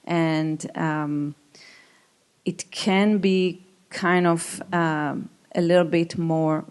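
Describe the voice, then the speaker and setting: Slow-talking woman with an Israeli accent. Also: fairly low pitch, steady tone, a little mellow, smooth. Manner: halting, thoughtful. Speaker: composer, panelist. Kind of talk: panel discussion, answering audience questions. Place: live event venue with audience.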